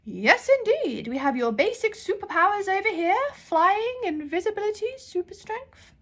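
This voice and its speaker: A storybook librarian of magic, booming out in a high, loud voice. She is an older, eccentric woman, brusque and imperious, speaking as if everyone were hard of hearing. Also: big pitch swings, normal speed, full character voice. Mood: grand, instructive.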